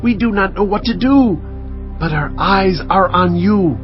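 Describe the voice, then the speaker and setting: Devout, pleading king, higher-pitched. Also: a little slow, dull audio, soft background music. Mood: anguished appeal. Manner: earnest, declamatory oratory. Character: pleading, devout king of Judah praying before his people. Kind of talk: dramatized audiobook acting.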